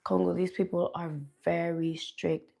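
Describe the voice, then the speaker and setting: A young woman from Canada talks unhurriedly to her livestream viewers from home. Her voice sits slightly low with a gravelly edge. She chats loosely and greets people by name. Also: little pitch movement, measured pace, warm tone. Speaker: livestream host. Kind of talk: casual livestream chat. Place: home in a cold region.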